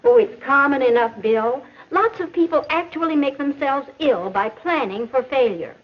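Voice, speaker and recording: An American actress playing a patient, instructive female mentor in a 1950s educational film, explaining in a measured, didactic way. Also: high voice, animated. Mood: encouraging, instructive. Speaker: teacher or counselor in an educational film. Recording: muffled old 1950s film soundtrack.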